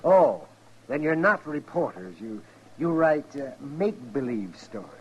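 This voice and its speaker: A man playing a folksy, excitable older American town doctor in a 1950s radio western, hesitant in his phrasing. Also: medium pitch, unhurried. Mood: curious. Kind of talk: 1950s radio drama acting. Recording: noisy old radio recording.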